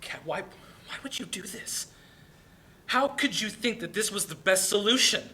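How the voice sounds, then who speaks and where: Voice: medium pitch, lively intonation, measured pace, bright, forceful tone. A performer playing a hurt, blunt, protective young brother in a dramatic play reading. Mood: shocked, accusing.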